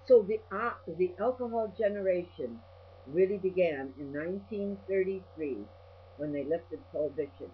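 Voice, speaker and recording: An older woman video blogger giving a rambling personal monologue in a blunt, salty-tongued, ranting manner. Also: low-pitched. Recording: dull lo-fi audio with mains hum.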